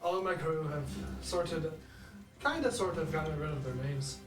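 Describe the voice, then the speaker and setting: A man's voice: fairly high pitch, moderate pace, distant mic. A man playing a tabletop game, talking eagerly and laughing easily. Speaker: player. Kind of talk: casual play chat. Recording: echoey room, mic from afar, music behind.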